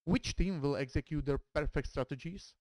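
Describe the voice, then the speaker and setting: A man from the Czech Republic with a Czech accent, a native Czech speaker commentating a game match in careful, deliberate English. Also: on the high side, darkish tone, dry recording. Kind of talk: streamed game commentary, reading a dramatic intro and team roster.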